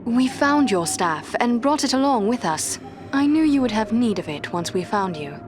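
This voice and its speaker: An actress playing a composed, earnest heroine and healer in a fantasy video game, speaking formally and in measured phrases. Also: female voice, a little high, animated, over music, close to an everyday voice. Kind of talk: video game cutscene acting.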